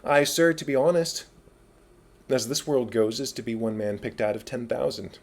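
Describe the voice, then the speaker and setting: A man's voice: mid-range voice, normal speed, darkish tone, close to an everyday voice. A man playing a young prince who feigns madness, sardonic and riddling, in a dramatic Shakespeare reading.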